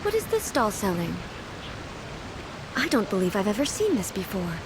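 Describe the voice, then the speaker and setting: A woman playing a calm, imperious female deity ruler in a video game, speaking in a formal, measured, matter-of-fact way. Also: mid-range voice, noisy background, close to an everyday voice. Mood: aloof, calm. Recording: game music behind.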